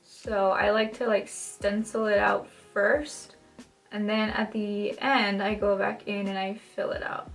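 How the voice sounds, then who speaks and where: Young woman, raspy gravelly voice from a dry throat, chatty tutorial talk, soft music behind. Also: medium pitch, level tone, a little slow, rough recording. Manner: chatty, many "like" fillers, shifts pace often. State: dry throat, maybe catching a cold. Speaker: makeup tutorial host. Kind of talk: casual step-by-step tutorial talk. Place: home, in front of a mirror.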